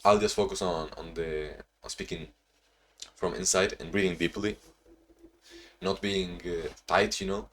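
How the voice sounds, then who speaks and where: A young man talking in a casual, reflective diary-style vlog, halting with frequent restarts and sounding a bit sleepy. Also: low-pitched, expressive, creaky, fairly bright tone. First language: not English; Portuguese not first language either. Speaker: vlogger.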